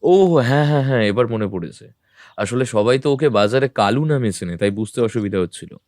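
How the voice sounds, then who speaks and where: A man playing a composed, observant detective in an audio drama, talking in a measured, thoughtful, familiar way. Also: mid-range voice, animated, a little mellow, no echo, clean studio sound, natural voice. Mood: curious.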